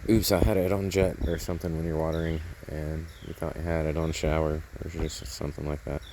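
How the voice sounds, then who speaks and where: A man's voice: a bass voice, flat delivery, brisk, dark tone, a little hiss or hum. A man casually narrating hands-on garden work outdoors, rambling and thinking aloud as he goes, recorded on a handheld camera. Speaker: gardening vlogger.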